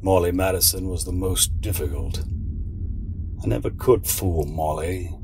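A man's voice: low-pitched, measured pace, creaky, darkish tone. A man playing a scheming, condescending cult mastermind in an audio drama, speaking in a dramatic, portentous way. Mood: smug, reflective. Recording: noisy background ambience.

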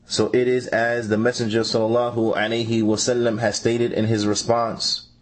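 A man reading out a translated religious lecture in a deliberate, phrase-by-phrase manner, restating points as he goes. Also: mid-range voice, little pitch movement, rough recording. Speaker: translator reading a scholar's answers. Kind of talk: measured religious lecture translation, read aloud.

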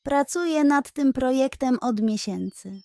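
Young boy badger inventor acted by a woman for a children's storybook: high, eager, sheepishly apologetic. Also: a little slow, darkish tone, dry recording. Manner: enthusiastic, sheepish. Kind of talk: children's bedtime story character.

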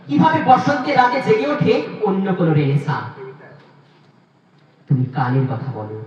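A man's voice: sits high, highs cut off. A man, a poet, giving a dramatic, declamatory recitation of verse with emphatic repeated phrases. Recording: very echoey, distant mic, faint noise.

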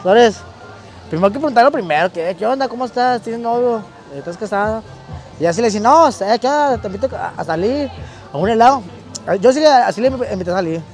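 A man's voice: high voice, expressive, fairly quick, a little mellow, faint music behind. A man doing a teasing street interview with a handheld mic on a city street. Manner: joking, teasing, laughs often.

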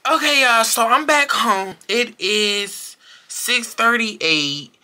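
A young woman in her late teens vlogging casually to a handheld camera, excitable and full of slangy exclamations and squeals. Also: on the high side, takes it slowly. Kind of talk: casual vlog chat to camera. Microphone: handheld camera close to face.

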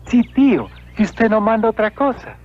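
A humble, simple-hearted young peasant from an animated film, with a Mexican accent. His high, very lively voice is deferential and sincere, on a lo-fi track. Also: normal speed, soft background music. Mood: cheerful, earnest. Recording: dull lo-fi old film soundtrack.

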